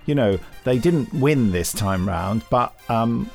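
Casting: British man, mid-pitched, jovial casual news chat, faint music behind. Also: lively intonation, slow, vocal fry. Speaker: podcast co-host.

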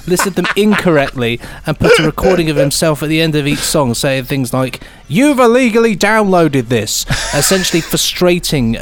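Higher-voiced man in casual podcast banter. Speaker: podcast co-host. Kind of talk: casual chat and reading listener emails.

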